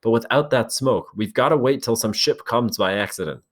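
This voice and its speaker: A man voicing an earnest boy chief who speaks loudly and insistently. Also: mid-range voice, normal speed, voice pushed up, natural voice. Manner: forceful, insistent.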